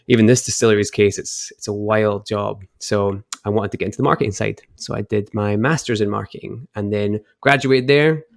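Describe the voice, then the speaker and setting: A young Scottish man with a gravelly, low-pitched voice tells stories in an easygoing, chatty way. He sounds close and dry, speaking into a headset mic on a video call from home. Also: dry recording. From Scotland, Edinburgh. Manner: chatty, relaxed, laughs often. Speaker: guest, whisky brand ambassador. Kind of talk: casual storytelling chat.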